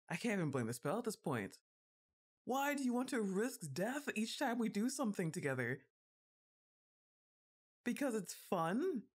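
A woman playing a goth giantess witch girlfriend, exasperated and fondly bossy, with a drawn-out teasing delivery in an intimate ASMR roleplay. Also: on the low side. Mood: teasing disbelief. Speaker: audio roleplay performer.

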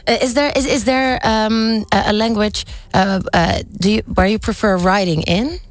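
A woman's voice: medium pitch, steady tone, moderate pace. A woman in her thirties with a Dutch accent, a native Dutch speaker, hosting a casual interview close on a studio mic. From Netherlands. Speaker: radio host. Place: small radio studio. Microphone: close studio broadcast mic.

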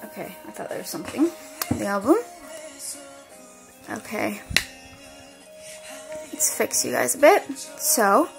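A woman chatting casually while unboxing an album at her desk, rambling with lots of fillers and her voice going hoarse. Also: mid-range voice, huge pitch swings, measured pace, long pauses, music in the background, poor audio. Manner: rambling, many fillers and restarts. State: losing her voice. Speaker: unboxing vlogger.

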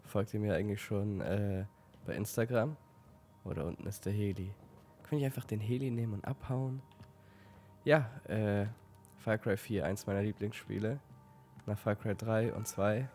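A man with a slight cold giving relaxed let's play commentary, stumbling now and then while reading game text aloud. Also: lowish voice, moderate pace. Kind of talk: casual gameplay commentary.

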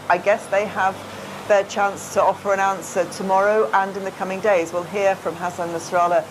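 A middle-aged woman reporting live as a TV news correspondent, with clear ambient noise behind her. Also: lowish voice. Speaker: international news editor. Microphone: lapel.

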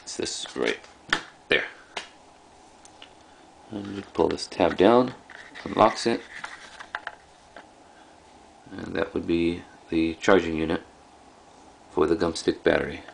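A man casually showing items as a video host, speaking in halting, offhand short phrases. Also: deep voice. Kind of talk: casual product showcase. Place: indoors in a room at home. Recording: clearly noisy home recording.

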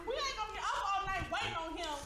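A woman playing a brash, impatient hotel guest in a stage comedy, loud and confrontational. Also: very high voice, expressive, quick pace. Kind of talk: broad stage comedy acting. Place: theatre stage before an audience. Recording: echoey theatre stage with clear noise.

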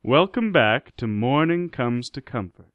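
A man's voice: mid-range voice, animated, a little slow, dull audio, soft background music. A man narrating a radio play in scripted storytelling style.

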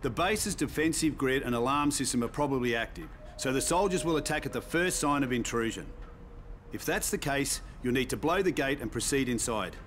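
An actor playing a steady, military-minded survivor leader in a video game, earnest and used to giving orders. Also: male voice, mid-range voice, a little fast, a little hiss or hum, lightly acted. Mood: steady, instructing. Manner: earnest, directing. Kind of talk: video game cutscene acting.

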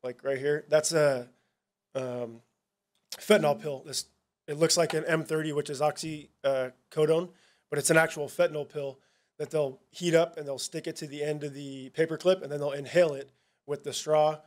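A man, a police narcotics officer, giving a casual, halting presentation at a town hall, with many fillers and restarts. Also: fairly high pitch, steady tone.

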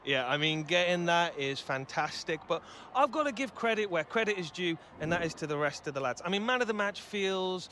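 A man's voice: high-pitched, lively intonation, fairly quick, hardly any pauses, pushed and bright, slight background noise. A man playing a cheeky, easygoing young British footballer in a video game. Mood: modest, upbeat. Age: young adult. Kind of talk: video game cutscene dialogue.

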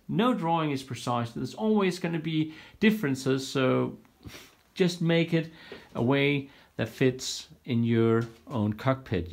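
A man's voice: medium pitch, vocal fry. A man giving a frank, self-deprecating walkthrough of his home build, filming on a handheld phone in a home workshop room. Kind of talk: casual walkthrough vlog. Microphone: handheld phone camera.

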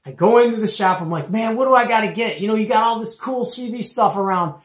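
A man's voice: high voice, fast talker. Middle-aged Midwestern man in an echoey room. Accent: American Midwestern. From Detroit area, United States. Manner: animated, conversational, uses rhetorical questions and slangy asides. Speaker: host of a CB radio show-and-tell. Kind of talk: casual storytelling. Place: small cluttered indoor radio room. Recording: echoey room, mic at a distance.

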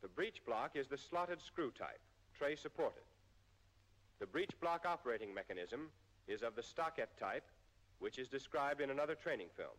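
An American man reading a scripted 1940s military training film narration in a formal, measured way with precise enunciation. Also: medium pitch, lively intonation, a bit bright. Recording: 1940s film soundtrack, long reverb.

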